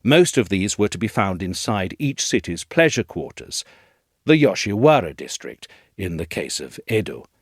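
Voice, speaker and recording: Man, lowish pitch, dry close studio sound, audio essay narrator, articulate storytelling delivery. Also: big pitch swings, normal speed, breathy edge, no echo.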